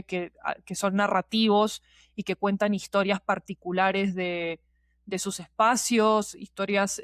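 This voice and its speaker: A woman's voice: medium pitch, no room sound, studio quality. A woman explaining things in casual, digressive conversation and often checking in with her listener. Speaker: podcast guest, producer and teacher.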